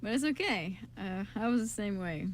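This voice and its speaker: A woman's voice: mid-range voice, expressive, normal speed, slight background noise, natural voice. A woman voicing an easygoing, friendly, upbeat young man with a casual, reassuring way of talking in an amateur radio drama.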